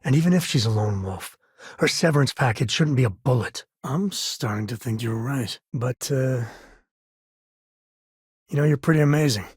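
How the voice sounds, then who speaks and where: A rugged, warm-hearted frontier ranger and devoted dad from a video game. He talks in a folksy, laid-back way with dry wit. His mid-pitched voice has a husky edge and is recorded very dry. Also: animated, normal speed, dry recording. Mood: sincere. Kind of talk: video game voice acting, companion dialogue.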